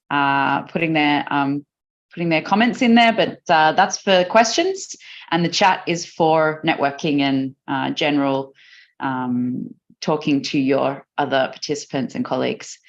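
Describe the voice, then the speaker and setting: Australian woman host for a live online Q&A, lower voice, chatty with ums, muffled call audio. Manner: friendly, chatty, with fillers. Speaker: webinar host. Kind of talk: live webinar hosting. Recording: dull lo-fi video call audio.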